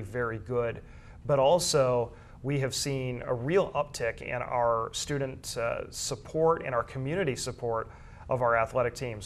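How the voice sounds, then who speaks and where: A man talks through high school sports as a commentator would in a relaxed discussion. His voice sits at an average pitch. He unfolds long, measured explanations with small hesitations along the way.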